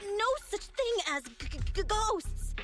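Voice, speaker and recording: Game music plays behind a frightened young woman. Her very high voice swoops as she stammers. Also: animated, slow, exaggerated voice.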